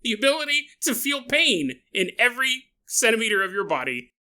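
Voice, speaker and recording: A man's voice: very high voice, sing-song, a little fast, bright, forceful tone. A man hosting a podcast, telling a strange story in a jokey way, often laughing and adding side remarks. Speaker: podcast host. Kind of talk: comedic paranormal storytelling.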